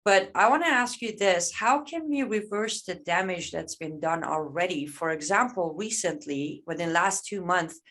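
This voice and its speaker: A woman's voice: fairly low pitch, lively intonation, normal speed, few pauses, fairly bright tone. A woman hosting an online Q&A over a video call, speaking hesitantly with many fillers. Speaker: host. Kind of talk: moderating an online Q&A.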